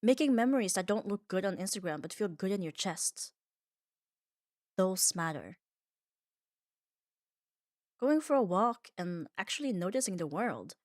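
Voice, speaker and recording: A woman giving a calm, reassuring ASMR comfort monologue in an unhurried, soothing way. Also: mid-range voice, no room sound, clean studio sound. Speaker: ASMR audio creator.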